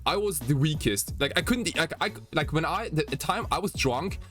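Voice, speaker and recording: A man of twenty-nine from Germany chats casually as a podcast host, rambling and halting with many fillers. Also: on the high side, animated, a bit bright. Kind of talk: casual chat. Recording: music behind the voice. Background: faint background music.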